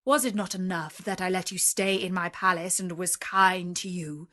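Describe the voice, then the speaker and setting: A woman's voice: on the low side, fairly even intonation, normal speed, bright, forceful tone. A woman voicing a huge, furious male beast who roars threats in a terrible voice. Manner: roaring, threatening.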